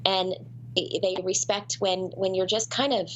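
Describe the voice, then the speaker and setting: Woman guest, 30s, chatty storyteller, lots of ums and restarts, middle pitch, faint hum behind. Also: steady tone, measured pace, lo-fi sound. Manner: chatty, many fillers and restarts. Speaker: voice actress guest. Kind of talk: casual interview storytelling.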